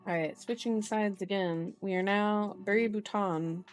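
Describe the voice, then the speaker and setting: A woman streamer reads aloud in an ASMR style with faint music behind her. Her voice sits at an average pitch. She is playful and informal, slipping between narration and casual chat and correcting herself as she goes. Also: measured pace. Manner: playful, informal asides, self-correcting while reading. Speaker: streamer, reader. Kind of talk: ASMR book reading with casual chat to viewers. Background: background music.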